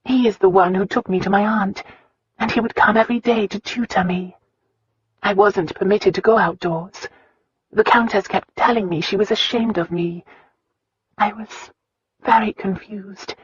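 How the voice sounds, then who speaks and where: A reader voicing a gentle, earnest young woman who speaks softly and sincerely, at times in a whisper. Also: medium pitch, fairly even intonation, moderate pace, breathy edge, no room sound, voice pushed up. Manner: soft, sincere, sometimes whispering. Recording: dull lo-fi audiobook recording.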